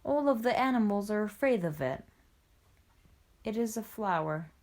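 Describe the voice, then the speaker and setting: A woman voicing a calm wise panther. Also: medium pitch, moderate pace, close to an everyday voice. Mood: advising.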